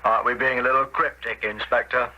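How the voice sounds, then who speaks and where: An actor playing a courteous coroner in a radio drama, questioning in a formal, courtly way. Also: male voice, medium pitch, steady tone, fast, natural voice. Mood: probing. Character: courteous, formal male coroner presiding at inquest. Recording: muffled old radio recording.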